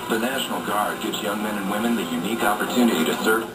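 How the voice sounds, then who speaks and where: A man's voice: fairly low pitch, quick pace. A man reading a scripted public service spot as a radio announcer. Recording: distant radio playback over loud music.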